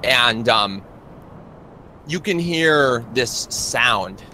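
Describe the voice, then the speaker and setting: Bright-voiced man narrating as game master. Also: medium pitch, lively intonation, extremely slow, a little hiss or hum. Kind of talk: narrating a tabletop roleplay.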